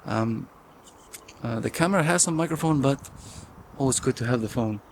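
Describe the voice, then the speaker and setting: A man from Iceland teaching an online filmmaking class in a relaxed, gently encouraging way, with some fillers when he talks off the cuff. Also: medium pitch, normal speed, a little hiss or hum. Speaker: film teacher. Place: outdoors in nature. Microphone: phone recording nearby.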